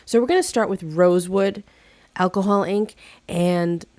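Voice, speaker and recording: A woman narrating a casual craft tutorial, chatty and friendly with fillers and restarts, slightly hoarse from a cold. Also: medium pitch, moderate pace, darkish tone, no echo. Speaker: craft video host.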